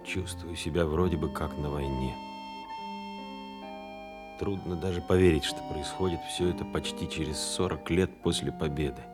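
A man's voice: rumbling low, moderate pace. An actor playing a pensive man haunted by echoes of war, in a reflective radio drama monologue. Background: music behind the voice.

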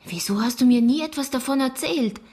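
A woman's voice: sits high, fairly quick, a little mellow, natural voice. An actress playing a worried, insistent teenage girl, a protective sister, in a radio drama. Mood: anxious, probing.

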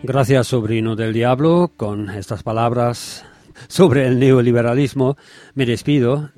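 A man's voice: mid-range voice, unhurried, dark tone, no room sound, faint music behind. Male presenter, dry close sound. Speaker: radio presenter. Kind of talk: presenter's sign-off and book plug.

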